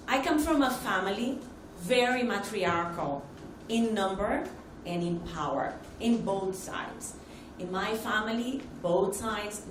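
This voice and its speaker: Brazilian lady doing a conference talk, short halting phrases, storytelling, echoey and noisy room. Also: mid-range voice. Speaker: conference speaker. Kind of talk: informal personal storytelling in a talk. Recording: echoey room with noticeable background noise.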